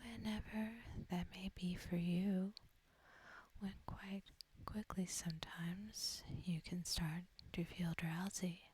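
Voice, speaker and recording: A woman softly whispering a guided sleep hypnosis in a breathy, husky voice, gentle and soothing, with long deliberate pauses. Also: lowish voice, fairly even intonation, slight background noise. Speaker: ASMR host guiding sleep hypnosis. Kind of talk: soft-spoken ASMR guided relaxation.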